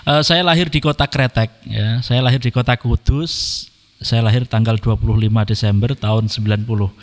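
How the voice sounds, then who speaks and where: A man, speaking as a podcast guest in a polite, measured way, with frequent "eh" and "ya" fillers. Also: medium pitch, fairly even intonation, big-room sound. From Indonesia. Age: 30s. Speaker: podcast guest, Quran reciter. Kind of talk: casual podcast conversation.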